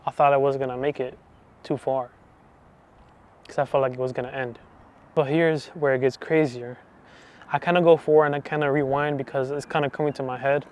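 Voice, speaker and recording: A man in his twenties giving a personal faith testimony, talking softly and hesitantly with many restarts. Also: medium pitch, fairly even intonation, a little fast, long pauses. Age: 20s. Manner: soft, hesitant, full of restarts and fillers. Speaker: channel host giving testimony.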